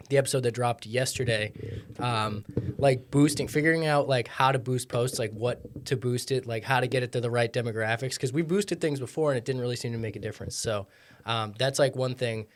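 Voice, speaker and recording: A man's voice: medium pitch, slight background noise. A man appearing as a guest on a podcast in casual chat, explaining at length with lots of "like" fillers. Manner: rambling and explanatory, full of "like" fillers.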